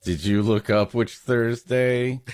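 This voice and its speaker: A man's voice: mid-range voice, fairly even intonation, slow pace, a little mellow, no echo. A man hosting a podcast with comedic banter and story introductions, playfully mock-dramatic in his delivery. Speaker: podcast host.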